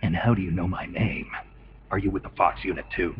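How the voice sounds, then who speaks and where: An actor playing a gruff, wary soldier hero in a video game, speaking in terse, questioning phrases. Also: male voice, sits low, fairly even intonation, fairly quick, background noise, lightly acted. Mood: suspicious, puzzled. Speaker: soldier, infiltrator. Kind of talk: scripted video game voice acting. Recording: muffled lo-fi game audio with music.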